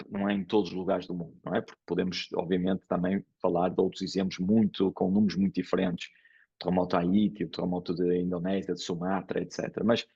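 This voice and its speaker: A man's voice: low-pitched, vocal fry, a little mellow, slightly rough. A man with an Italian accent speaking Portuguese, giving a hesitant academic lecture full of fillers and restarts. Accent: Italian with English and Porto traces in Portuguese. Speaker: engineering professor giving a conference talk. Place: lecture hall. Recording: dull lo-fi lecture recording.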